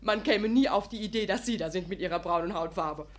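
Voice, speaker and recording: An actress playing a cheeky, gossipy young maidservant in a radio comedy, eager and teasing. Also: female voice, mid-range voice, fairly quick, close to an everyday voice. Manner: breathlessly eager, giggly, teasing. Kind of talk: animated radio comedy storytelling.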